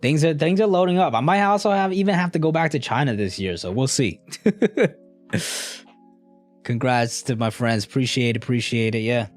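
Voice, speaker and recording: A man's voice: mid-range voice, normal speed, long pauses, soft background music. A young man casually chatting with a live stream audience in a laid-back, slangy, rambling way. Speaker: streamer answering live chat.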